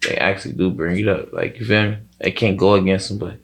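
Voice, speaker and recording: A young man with a Chicago accent speaks in a deep register with a creaky fry. He talks casually as an interview guest, circling through his points in slangy, sweary phrases. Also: steady tone, fast, a crowd in the background. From Chicago, USA. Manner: rambling, heavy slang and swearing. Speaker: rapper being interviewed.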